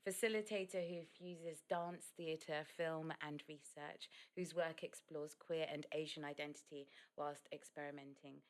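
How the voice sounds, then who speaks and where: A woman's voice: sits low, steady tone, measured pace, few pauses. A woman hosting a podcast, reading out guest introductions and asking questions in a playful, affectionate way. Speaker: podcast host. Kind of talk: podcast interview.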